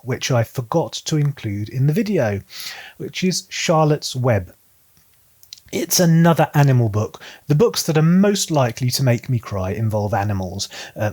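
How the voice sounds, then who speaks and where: A man talking casually to viewers as a book vlogger, articulate and measured in his phrasing, with dry, self-deprecating humour. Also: mid-range voice, a little mellow.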